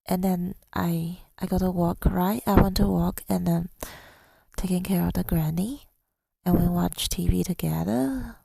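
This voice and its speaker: A woman speaking softly and intimately in a husky, breathy ASMR style, hesitant and affectionate, often slipping into a whisper, close to the microphone. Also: lowish voice, unhurried, no room sound. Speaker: ASMR audio creator. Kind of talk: intimate ASMR girlfriend roleplay, telling about the day. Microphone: close microphone, dry sound.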